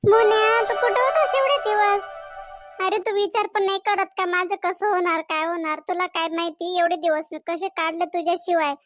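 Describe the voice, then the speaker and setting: A woman's voice: very high voice, fairly even intonation, normal speed, fairly bright tone, soft background music. A woman voicing a lovesick, emotional young village woman in a cartoon comedy, who pleads with and reproaches her sweetheart. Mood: reproachful.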